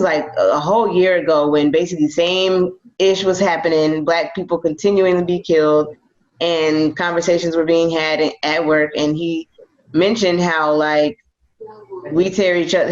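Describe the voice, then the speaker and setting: Reflective young man, dull lo-fi sound. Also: fairly high pitch. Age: young adult. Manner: thoughtful, reflective storyteller. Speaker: podcast co-host. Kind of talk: casual chat.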